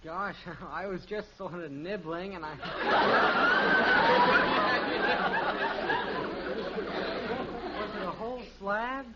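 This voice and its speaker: An actor playing a guileless, ever-hungry American teenage son in a 1950s radio sitcom, sheepish and earnest. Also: male voice, high voice, huge pitch swings, very slow, pauses often, over a noisy crowd, exaggerated voice. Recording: noisy, muffled old radio with audience.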